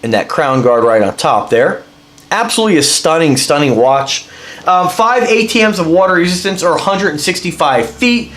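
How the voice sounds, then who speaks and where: A high-voiced man sounds stuffed up from a cold as he chats through a casual review, rambling and eager while he explains what he's holding. His voice bounces around a noticeably echoey room. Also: expressive, normal speed, a bit bright. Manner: rambling, enthusiastic, explains as he handles the item. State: congested with a cold. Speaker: watch reviewer.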